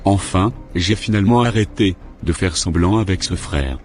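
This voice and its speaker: A man's voice: low-pitched, normal speed, darkish tone, close to an everyday voice. A man playing a boastful, worldly backsliding preacher in an amateur cartoon, self-satisfied in manner. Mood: smug, dismissive. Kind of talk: amateur cartoon monologue and dialogue. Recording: music behind the voice.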